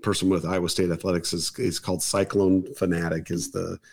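A middle-aged man with a hoarse voice, a guest chatting casually and rambling with many fillers and restarts. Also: deep voice, level tone, brisk, background noise. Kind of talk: casual chat. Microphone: new microphone on a table.

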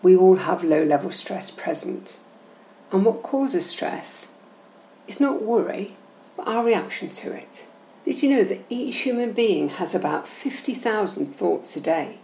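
Mellow, creaky-voiced woman guiding a meditation. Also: mid-range voice, animated. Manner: gentle, measured, instructional. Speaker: guided meditation host. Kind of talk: slow, soothing guided meditation narration. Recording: roomy echo, faint background noise.

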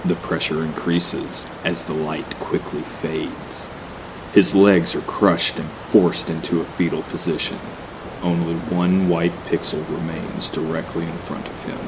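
A man's voice: low voice, background noise. A man narrating a scripted horror story in an audio play.

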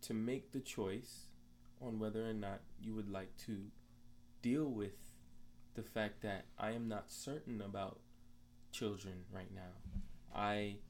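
A man's voice: fairly low pitch, steady tone, slow pace. A man in his twenties sharing opinions casually in a group discussion, with background noise in the recording. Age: 20s. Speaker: discussion panelist.

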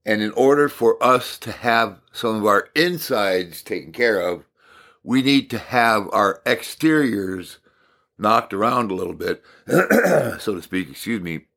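A man teaching a devotional message in a calm, unhurried way with thoughtful pauses. His voice is a little raspy from talking a lot. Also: fairly low pitch.

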